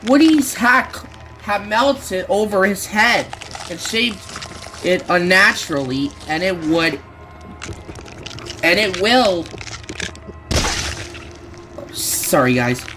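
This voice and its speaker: A man reading a creepypasta aloud, slowly and haltingly, often restarting words and stepping out of the story to talk to his listeners. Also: high voice, expressive, bright, forceful tone, soft background music, low-quality recording. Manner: slow, halting reading with frequent restarts and self-corrections; breaks off to address listeners with casual asides. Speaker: creepypasta reader.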